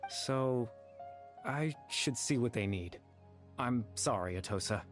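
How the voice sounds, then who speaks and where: A man playing a gentle, courteous, melancholy figure in a video game, speaking politely and a little hesitantly. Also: mid-range voice, expressive, slow pace, soft background music. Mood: resigned.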